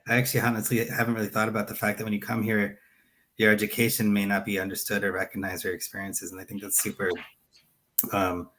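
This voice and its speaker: Panel host: man, lowish voice, casual moderating style. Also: fairly bright tone, low-quality recording.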